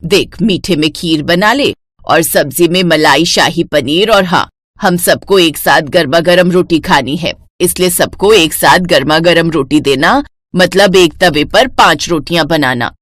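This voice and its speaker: A woman voicing a bossy, sharp-tongued middle-aged mother-in-law who scolds and demands, in a cartoon story. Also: lowish voice, unhurried, dry recording, studio quality, pitched below the performer's own voice.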